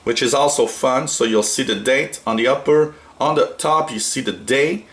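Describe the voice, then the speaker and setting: A man's voice: medium pitch, normal speed, a bit bright. A man from Canada giving a casual, unscripted hobby product tutorial, talking in a hesitant, rambling way. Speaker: shortwave radio hobbyist presenting a product. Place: small indoor room at a radio desk.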